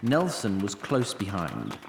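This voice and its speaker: A man's voice: lowish voice, huge pitch swings, takes it slowly, vocal fry, roomy sound, slight background noise. A man narrating a scripted fantasy story in a wry storytelling manner.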